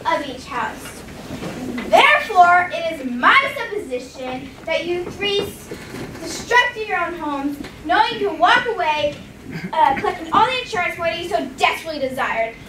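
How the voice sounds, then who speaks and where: Very high, theatrical lady prosecutor played by a woman. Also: sing-song, normal speed. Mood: accusing. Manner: theatrical, emphatic, interrogating. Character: theatrical, cunning lady prosecutor Stiltskin of a fairytale courtroom. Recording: echoey stage from far off, loud noise.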